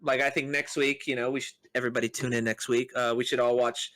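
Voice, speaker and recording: A man's voice: mid-range voice, level tone, quick pace, fairly bright tone. A man hosting a podcast in a casual, conversational style. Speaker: podcast host. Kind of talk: casual chat.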